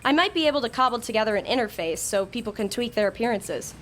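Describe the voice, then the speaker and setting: A woman's voice: on the high side, lively intonation, quick pace, fairly bright tone, soft background music. A woman as a bossy sardonic young woman. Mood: wistful. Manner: imperious, sardonic. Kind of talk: audio drama acting.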